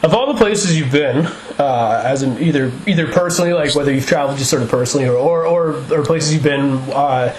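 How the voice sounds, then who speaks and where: A man interviewing a musician for a radio show, asking long, rambling questions with restarts and quick laughs. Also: a little high, reverberant, a little hiss or hum, low-quality recording. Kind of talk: casual interview.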